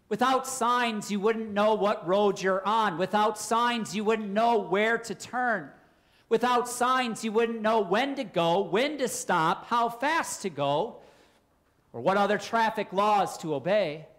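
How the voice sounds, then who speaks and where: Casting: male preacher, forties, clear teaching sermon delivery, emphatic, posing questions to listeners. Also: high-pitched, a bit bright. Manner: deliberate, emphatic, explanatory. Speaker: Lutheran pastor. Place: church, at a pulpit indoors.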